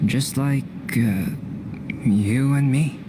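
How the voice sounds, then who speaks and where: Calm, aloof young alchemist. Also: male voice, mid-range voice, background noise. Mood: calm, pensive. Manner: measured, reserved. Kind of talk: game voice acting.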